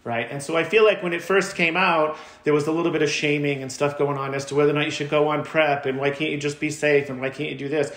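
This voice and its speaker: A man in his forties explains things in an easy, conversational way as a panel guest. He is heard through earbuds on a video call, and the room gives his voice a noticeable echo. His voice sits a bit high for a man. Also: a bit bright.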